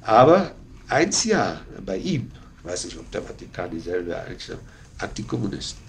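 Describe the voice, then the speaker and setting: A muffled archive tape with faint noise carries a man's creaky, lowish voice. He talks informally, halting and then emphatic, breaking off and repeating himself. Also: sing-song. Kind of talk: informal recorded conversation.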